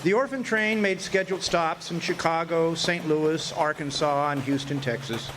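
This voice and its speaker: A man narrating a radio play as a grown former orphan looking back on his boyhood, in measured storytelling. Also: a little high, background noise, natural voice. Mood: reflective.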